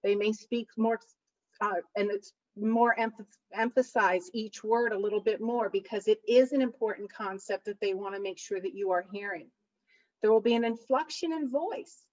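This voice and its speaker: A woman's voice: mid-range voice, normal speed, a little mellow, distant mic, dull audio. A middle-aged woman, a college instructor, giving a study-skills presentation with an emphatic, big voice that leans hard on key points. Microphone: computer mic for online session.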